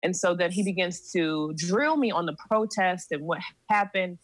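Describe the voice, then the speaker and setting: A low-pitched woman speaks at a measured pace, stopping often on a drawn-out "um". She sounds close and dry, with no room behind her, as she lays out a story on a podcast. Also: no room sound. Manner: measured, with frequent "um" pauses. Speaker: podcast guest; seminary student. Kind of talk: recounting a personal story in conversation.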